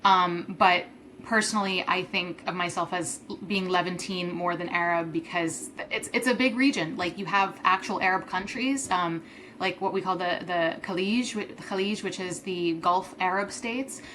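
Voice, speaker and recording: A woman's voice: fairly low pitch, normal speed, a bit bright, slight background noise. A woman from Syria answering interview questions thoughtfully, with many ums and restarts; her first language is Arabic. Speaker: guest.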